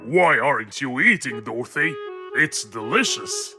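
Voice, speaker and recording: Man voicing a sly, slow-talking cartoon villain. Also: medium pitch, animated, over music, natural voice. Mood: sly, curious. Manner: condescending, calculating, drawn-out. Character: sly scheming male villain, Mister Fangs, feigning friendliness. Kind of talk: children's cartoon dubbing.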